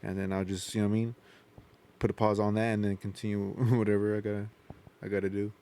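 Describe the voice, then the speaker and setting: A man's voice: fairly low pitch, flat delivery, quick pace, warm tone. A man chatting casually in slang on a podcast, circling back and repeating himself as he explains. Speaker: podcast co-host. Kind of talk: casual, slangy group chat.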